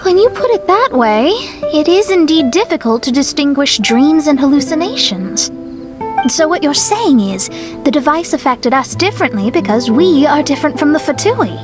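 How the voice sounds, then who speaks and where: A woman playing a proud, thoughtful young astrologer in a video game, speaking in a measured, theatrical way. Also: sits high, very animated, over music. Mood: intrigued. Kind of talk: video game voice acting, dramatic monologue.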